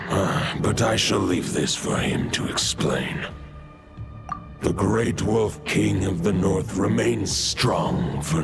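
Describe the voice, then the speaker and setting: An actor playing an ancient, proud wolf lord in a video game, speaking gravely and slowly. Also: male voice, deep voice, exaggerated voice. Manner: slow, grave and measured.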